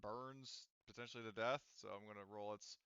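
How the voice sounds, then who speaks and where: A man's voice: on the low side, steady tone, normal speed, dull audio, heavy background noise. A man running a tabletop roleplaying game as game master, talking casually, rambling and swearing as he checks the rules.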